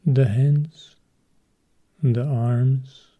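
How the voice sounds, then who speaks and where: A man leading a guided meditation as a teacher, speaking calmly and in short, measured phrases with long pauses. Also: medium pitch, narrow, tinny audio.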